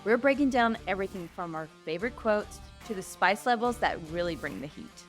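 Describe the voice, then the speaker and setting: A woman's voice: medium pitch, lively intonation, a little fast, faint music behind. A woman co-hosting a podcast, chatting casually about books in a playful, informal, gushing way. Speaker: podcast co-host. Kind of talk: casual book review chat.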